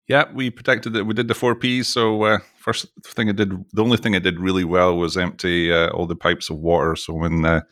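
A man's voice: low voice, little pitch movement, fairly quick, few pauses, fairly bright tone, no room sound, clean studio sound. A middle-aged Scottish man chatting as an interview guest, jokey and talkative, often restarting his sentences. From Scotland. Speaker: podcast guest, oil industry executive. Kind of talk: casual interview chat.

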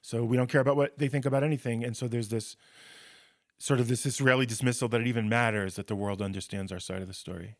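A man's voice: mid-range voice, fairly even intonation, quick pace, dry recording. A man with a mid-range voice asks probing questions in an earnest, slightly frustrated tone. His voice is dry and close to the mic. He co-hosts a podcast. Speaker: podcast co-host. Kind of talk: podcast conversation.

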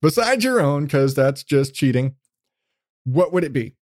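Male podcast host, slightly high voice, dry close sound. Rambling, self-deprecating, sweary, conversational. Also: very animated, a little slow, darkish tone, no room sound. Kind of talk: casual chat interview.

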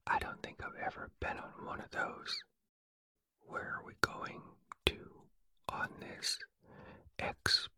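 A storyteller acting out an eager, muddle-headed little boy bear from a storybook. He speaks in slow, wondering whispers, bright and very dry. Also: very high-pitched, no room sound, full character voice. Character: naive, eager, muddled male toy bear.

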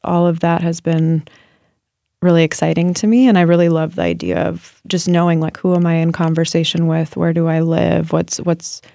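A woman's voice: fairly low pitch, little pitch movement, moderate pace, gravelly, warm tone, no echo. A woman writer answering interview questions in a thoughtful, searching way, with many fillers and restarts. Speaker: writer and guest.

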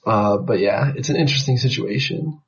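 A tired man with a shrill voice, talking hesitantly as a podcast guest about baseball. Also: brisk. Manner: hesitant, many fillers and restarts. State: tired from a late night. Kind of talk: casual podcast chat. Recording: lo-fi podcast mic.

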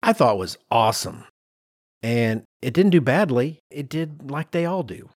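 A middle-aged man co-hosting a podcast in a conversational, animated and emphatic way, with some self-deprecation. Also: mid-range voice, measured pace, dry recording, studio quality. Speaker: podcast co-host.

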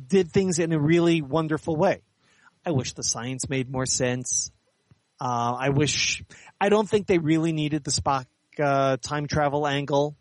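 A man's voice: on the high side, no room sound. A middle-aged or older man answering interview questions in a candid, articulate, explanatory way. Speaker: interview guest, science fiction author and editor.

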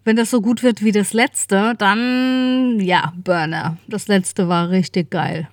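A woman's voice: mid-range voice, steady tone, takes it slowly, no echo. Chatty woman in her fifties, dry recording. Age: 50s. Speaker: podcast co-host. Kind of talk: casual podcast chat.

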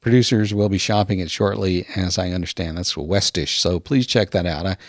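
A middle-aged man hosting a casual interview podcast, talking in a rambling, halting way and often repeating words. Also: deep voice, no echo, studio quality.

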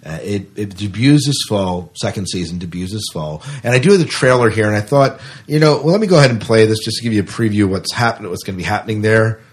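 A man hosting a podcast, chatting casually about entertainment news in a halting way. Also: on the low side. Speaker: podcast host. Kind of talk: casual sci-fi news chat.

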